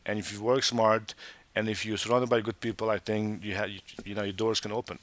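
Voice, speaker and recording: A man from Montenegro with a fairly low voice, co-owner of restaurants. He talks in a loose, rambling way, restarting his sentences and filling pauses often. The tone is relaxed interview chat. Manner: rambling, many restarts and fillers. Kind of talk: casual interview talk.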